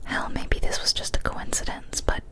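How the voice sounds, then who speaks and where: A young woman in a breathy, unvoiced whisper reads a horror story in ASMR style, hushed and deliberate, close to the microphone. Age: young adult. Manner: hushed, deliberate, short phrases with pauses. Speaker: ASMR storyteller, channel host. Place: small indoor room, decorated home studio. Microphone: large studio microphone, very close.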